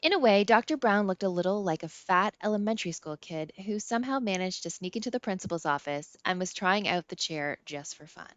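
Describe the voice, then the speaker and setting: A woman's voice: medium pitch, lively intonation, fairly quick, hardly any pauses, dry recording. A woman reading scripted first-person fiction as an audiobook narrator. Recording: slightly dull, dry close recording.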